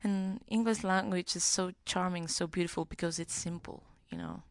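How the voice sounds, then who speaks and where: A woman's voice: on the low side, fairly even intonation, dry recording, clean studio sound. A woman from Greece with a Greek accent, a native Greek speaker answering casually in an interview and searching for words. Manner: halting, searching for words in a second language. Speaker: singer-songwriter, podcast guest.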